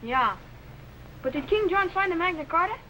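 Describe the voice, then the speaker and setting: A man's voice: very high-pitched, animated, moderate pace, bright, forceful tone, exaggerated voice. A young male actor playing an eager, respectful teenage assistant in 1950s television science fiction. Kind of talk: 1950s television sci-fi acting. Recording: slightly noisy old TV soundtrack.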